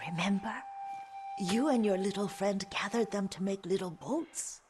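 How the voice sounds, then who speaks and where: A woman acting as a frail, devoted elderly female servant and herbalist in a video game drama, with a breathy aged voice and a gentle, halting, deferential manner. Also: medium pitch, lightly acted. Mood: wistful, caring. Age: older. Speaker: game character voice actor. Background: music.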